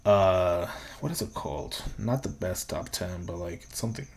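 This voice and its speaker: A man's voice: low voice, animated, moderate pace, slightly rough. A young man hosting a solo podcast, talking in a casual, rambling way, swearing freely and laughing often. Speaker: podcast host.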